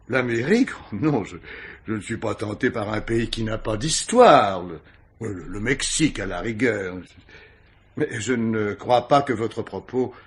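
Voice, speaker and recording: An actor playing an urbane, witty, self-absorbed older gentleman of leisure in a radio mystery, speaking with mannered, ironic asides. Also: on the low side, husky. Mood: urbane, wry. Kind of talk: vintage French radio mystery acting.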